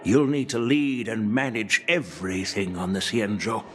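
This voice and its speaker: An actor playing an elderly general, a shrewd and wry grandfather with a measured, weighty, teasing manner, in a video game. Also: on the low side, fairly bright tone, slight background noise, close to an everyday voice. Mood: grave, measured. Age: older.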